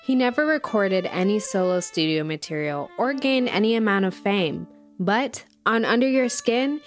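A woman playing an earnest music documentary podcast host, delivering measured, dramatic scripted narration in an audio drama. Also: mid-range voice, close to an everyday voice.

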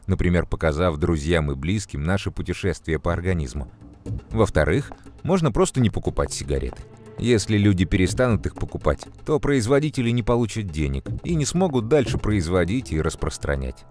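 Deep-voiced cartoon mentor, calm and instructive. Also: male voice. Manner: measured, didactic, clearly enunciated. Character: calm, knowledgeable adult male mentor explaining to children. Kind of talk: educational children's cartoon explanation. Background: music.

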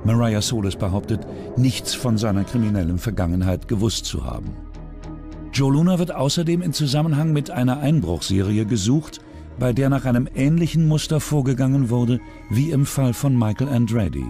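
Expressive male documentary narrator. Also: medium pitch, moderate pace. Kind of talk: scripted true-crime narration. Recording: soft music underneath.

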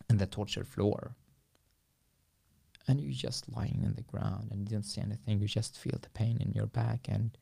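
A young man from Syria with an Arabic accent, speaking English as a second language, gives an earnest, halting personal account as a podcast guest in a small studio, often dropping to near-whispers. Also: medium pitch, creaky, a little mellow. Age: young adult. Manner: earnest, halting speech with frequent restarts, drops to near-whispers. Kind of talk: personal testimony in a podcast interview. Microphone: studio boom mic with headphones.

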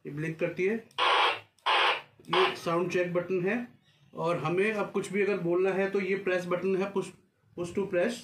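A man with a fairly high voice presents a product in a casual, hands-on way. He is a few feet from the camera in a small room, with clear echo and faint noise behind him. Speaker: vlogger presenting a product unboxing. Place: small furnished office room, indoors. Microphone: camera a few feet away across a desk.

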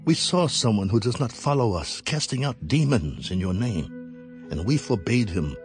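Guy as earnest disciple in bible audio drama, lowish lively voice, music behind. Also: normal speed, natural voice. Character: earnest male disciple reporting to his teacher.

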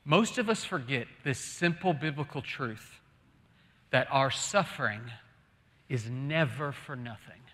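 A man in his thirties preaching a sermon as a pastor, emphatic and deliberate, with rhetorical questions and pauses. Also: mid-range voice, animated, fairly bright tone. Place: large church sanctuary, on stage. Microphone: lapel mic. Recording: long reverb of a large hall.

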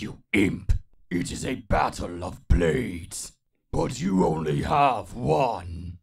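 A man voicing a savage, bloodthirsty monster warrior from a video game, growling with gravelly menace. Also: fairly low pitch, voice pushed down, heavily acted. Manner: menacing, emphatic. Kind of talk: fan impression of video game villain.

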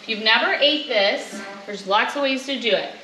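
A woman's voice: fairly high pitch, moderate pace, pushed and bright, poor audio. A woman in her thirties from Alaska, chatting casually as she cooks and explains each step to the camera in her home kitchen. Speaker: homestead vlogger. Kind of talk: casual cook-along vlog. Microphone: handheld or mounted camera, moving around. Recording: clear kitchen clatter behind. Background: clattering pots, dishes and cutlery.

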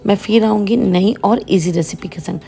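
A woman's voice: medium pitch, moderate pace, vocal fry. A woman hosting her cooking channel, patiently explaining each step of a home recipe.

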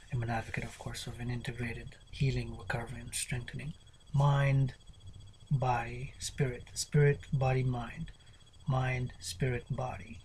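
A man's voice: mid-range voice, a little mellow, slight background noise, low-quality recording. A man in his thirties doing an unscripted fitness vlog in a small bedroom, repeating slogans in a preachy way and drifting into whispers, recorded on a webcam. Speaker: fitness vlogger. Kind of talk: rambling unscripted workout talk. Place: small cluttered bedroom with tapestries. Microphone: webcam at desk distance.

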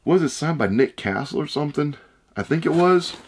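A man in his thirties with a hoarse voice he says he is losing, chatting casually as he unboxes items at a desk indoors. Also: fairly high pitch, animated, fairly quick. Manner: chatty, rambling, upbeat. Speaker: unboxing channel host. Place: room with shelves of shoe boxes, indoors.

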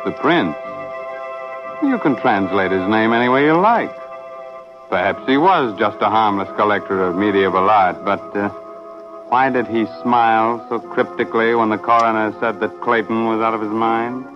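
An ominous male storyteller, played by a radio actor muses over a mystery in a lowish voice. He is measured and portentous, pausing for effect. The old recording is muffled, with loud music behind him. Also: natural voice. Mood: ominous, musing. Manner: measured, portentous, with dramatic pauses. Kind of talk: old-time radio drama narration.

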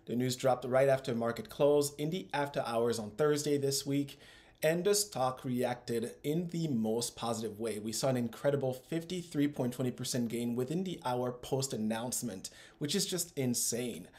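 A man in his thirties speaks to camera as the host of an investing channel. His pitch sits in a middle range, and his scripted delivery is upbeat, with emphatic phrasing. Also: moderate pace, darkish tone. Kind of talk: scripted video commentary to camera. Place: small room at home, indoors.

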